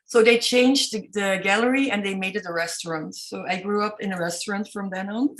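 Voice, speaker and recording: A woman's voice: medium pitch, steady tone, brisk, a bit bright, rough recording. Hesitant Belgian woman telling her story. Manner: hesitant, many ums and self-corrections, non-native English. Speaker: artist guest. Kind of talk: interview storytelling.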